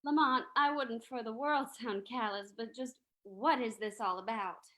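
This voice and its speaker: Woman playing a wry, high-voiced society lady. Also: normal speed, fairly bright tone, lightly acted. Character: wry, teasing society woman, detective's companion. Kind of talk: old-time radio reading acting.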